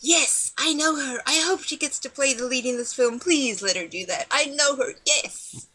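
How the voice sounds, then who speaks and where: A woman's voice: sits high, normal speed, breathy edge, poor audio. A woman with a South African accent, an actress guest in a casual interview, telling her story and acting out quoted lines. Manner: animated, acts out quoted dialogue.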